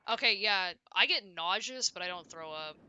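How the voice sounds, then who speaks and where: Bright, forceful young woman streaming games. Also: medium pitch, lively intonation, moderate pace, clean tone. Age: young adult. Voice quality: loud voice. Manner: rambling, self-interrupting, frequent "like". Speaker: video game streamer. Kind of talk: casual livestream chat while playing.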